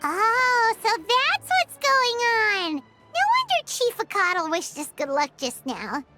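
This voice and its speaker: Brief: a squeaky little fairy sidekick, eager and food-loving, bouncy high delivery. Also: female voice, sing-song, takes it slowly, bright, forceful tone, exaggerated voice. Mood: chirpy. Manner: chirpy, refers to herself in third person. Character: tiny floating female fairy companion, eager and greedy. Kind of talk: video game voice acting. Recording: game audio with music behind.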